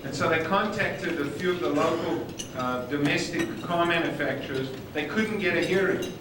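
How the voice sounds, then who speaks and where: A man's voice: fairly high pitch, normal speed, noisy background. South African man speaking in an echoey hall. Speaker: conference speaker. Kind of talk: conference presentation. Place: conference hall with dining noise. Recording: echoey hall from far off on old videotape.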